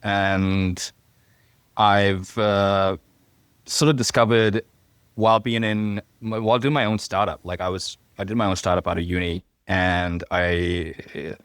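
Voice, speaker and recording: A man's voice: low-pitched, level tone, unhurried, a bit bright, smooth, dry recording. A man in his thirties from Fiji, raised in Hawaii, with a mixed Fijian, New Zealand, Australian and American accent, chatting casually and self-deprecatingly as a podcast guest. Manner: rambling, self-deprecating, joking asides. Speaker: podcast guest, software engineer.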